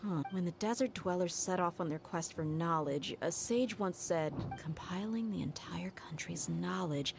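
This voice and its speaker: A woman's voice: fairly low pitch, normal speed, creaky, few pauses, over music. A woman streamer reading video game dialogue aloud.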